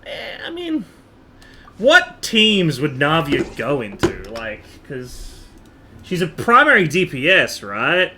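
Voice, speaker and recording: Man, game streamer, animated swings in pitch, bright forceful voice, rambling think-aloud chat, noisy background. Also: takes it slowly. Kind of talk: casual live stream chat while gaming.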